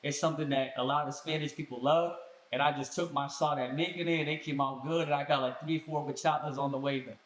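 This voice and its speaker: A young man from Colombia, a guest musician telling his story in a casual podcast chat with relaxed street slang. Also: fairly high pitch, fast. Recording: echoey room.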